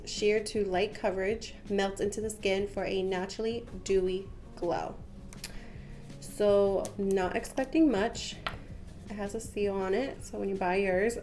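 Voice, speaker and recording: A young woman talks through her makeup routine in a rambling, chatty way, full of "um" and "like". Her voice is a little congested from a cold and sits at a middle pitch. She is recorded close to the camera in a small room at home, with faint music playing underneath. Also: a little mellow. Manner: chatty, rambling, with many "um" and "like" fillers. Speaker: beauty vlogger. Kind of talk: casual makeup tutorial chat. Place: small room at home, patterned wallpaper behind. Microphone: camera at close range, head and shoulders.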